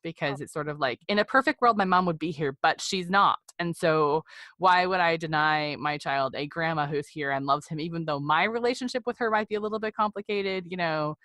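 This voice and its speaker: Woman in her 40s, lowish voice, rambly reflective podcast guest, really dry close sound. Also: a little fast, a bit bright, dry recording. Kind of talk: candid personal interview conversation.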